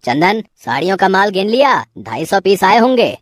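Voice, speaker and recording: A woman's voice: mid-range voice, very animated, moderate pace, no room sound, voice pushed down. Stern male shop owner, acted by a woman, commanding, scolding, cartoon moral tale, dry sound. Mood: suspicious. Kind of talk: cartoon moral story voice acting.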